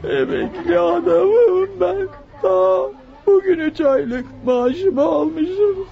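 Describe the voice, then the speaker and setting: A male actor playing a despairing, robbed old pensioner in a radio play, with a tearful, halting, strained way of lamenting. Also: extremely high pitch, vocal fry, heavily acted. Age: older. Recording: muffled lo-fi radio drama recording.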